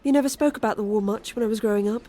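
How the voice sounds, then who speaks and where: Woman acting as worried daughter, gentle, mellow, slightly high voice, audio drama dialogue. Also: rapid-fire, lightly acted. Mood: gentle, concerned. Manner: gentle, concerned. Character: worried, gentle grown daughter of dying mother.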